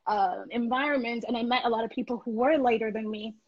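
A woman's voice: a little high, fast talker, highs cut off, over a noisy crowd. A young woman from Tanzania hosting a casual discussion. She talks reflectively and conversationally, often checking in with "you know".

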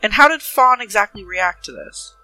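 A woman playing a businesslike detective in a tabletop game, questioning in a measured, probing way. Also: medium pitch, very animated, sharp tone, soft background music, rough recording. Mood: probing, calm.

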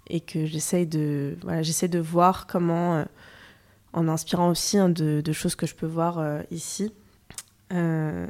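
Young female artist from France; unhurried, reflective, thinks aloud; low register. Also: warm tone. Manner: reflective, many fillers and restarts, thinking aloud. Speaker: photographer and artist, interview guest. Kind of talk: casual interview conversation.

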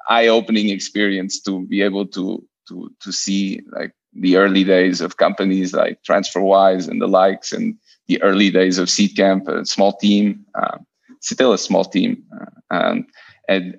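A man's voice: sits low, vocal fry, dull audio. A man from Portugal in his thirties, a venture investor telling his career story in a podcast interview, hesitant with many repeated starts.

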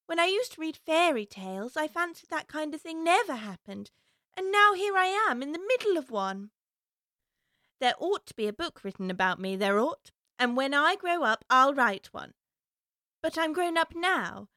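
Curious chatty little girl musing to herself, a woman voicing her, high and bright, dry sound. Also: expressive, moderate pace, no echo. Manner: musing aloud to herself, prim and wondering.

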